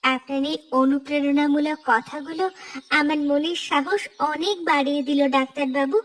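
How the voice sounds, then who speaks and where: A woman's voice: high voice, fairly even intonation, moderate pace, fairly bright tone. A woman voicing an earnest, hopeful young village stallholder in a cartoon, speaking respectfully and sincerely. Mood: grateful, determined. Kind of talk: scripted cartoon dialogue.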